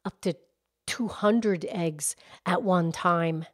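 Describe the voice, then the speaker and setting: Woman voicing a slow, gentle cow puppet. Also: lowish voice, no room sound, clean studio sound. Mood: calm, informative. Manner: measured, soft-spoken teacher-like delivery. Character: calm, gentle, knowledgeable grown-up cow puppet. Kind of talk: children's puppet show dialogue.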